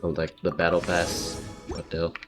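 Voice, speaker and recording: Very deep-voiced male game streamer. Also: level tone, moderate pace. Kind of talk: casual livestream chat. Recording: background game music. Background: video game music.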